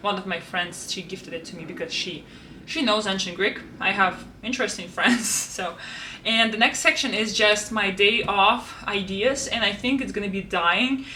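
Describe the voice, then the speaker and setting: A young woman talks through her plans in a loose, chatty way, circling back with "like" and "you know." Her voice is bright and sharp, picked up from a little distance. Faint background noise sits under it. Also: mid-range voice, moderate pace. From Russia. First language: Russian. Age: 20s. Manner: chatty, many fillers and restarts. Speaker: vlogger. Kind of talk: casual planner walkthrough. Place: home room.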